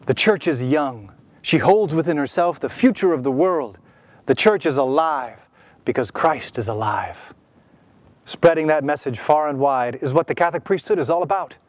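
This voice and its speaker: A man giving solemn, measured scripted narration for a promotional video. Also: fairly high pitch, lively intonation, no echo. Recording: music underneath.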